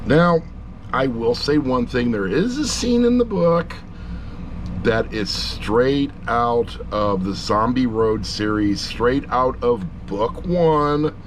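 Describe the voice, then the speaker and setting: A man's voice: medium pitch, animated, slow, slight background noise, rough recording. A middle-aged man giving a casual, rambling review, with blunt, jokey asides. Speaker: audiobook reviewer.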